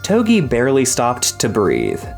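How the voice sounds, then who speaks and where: Audiobook narrator, scripted storytelling, medium pitch, music behind. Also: huge pitch swings, measured pace, vocal fry, darkish tone.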